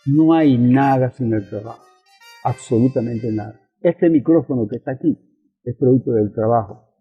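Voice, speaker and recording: Thin, narrow radio sound with music behind an older Venezuelan man. His voice is husky and he explains politics like a lecturer, posing rhetorical questions and repeating his key phrases. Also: medium pitch, normal speed. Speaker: interviewed communist politician and professor. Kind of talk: conversational political explanation in an interview.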